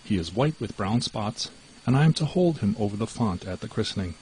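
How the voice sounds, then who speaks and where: A man's voice: lowish voice, lively intonation, brisk, a little mellow, husky, low-quality recording. A man voicing a sly, greedy she-cat. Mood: coaxing. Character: sly greedy female cat, cool and deceitful. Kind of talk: storybook character voice.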